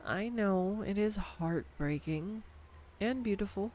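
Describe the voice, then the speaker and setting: A woman voicing a nerdy, affectionate girlfriend who rambles excitedly about animal facts in an intimate audio roleplay. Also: mid-range voice, slow, a little hiss or hum, close to an everyday voice. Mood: tender. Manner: enthusiastic, rambling, tangent-prone, giggly. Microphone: studio USB microphone.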